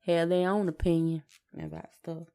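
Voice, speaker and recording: A woman's voice: on the low side, flat delivery, normal speed, gravelly, dark tone, clean tone. An American woman chatting informally as a podcast co-host, often chiming in with short agreeing replies. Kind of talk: casual conversational chat between spouses.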